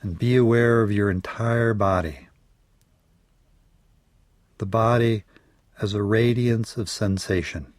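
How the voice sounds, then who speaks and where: A man with a fairly low voice guides a meditation at a very slow pace. His delivery is soothing and measured, with phrase endings that trail off softly. The sound is dry and close. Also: dry recording. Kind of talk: guided yoga nidra meditation.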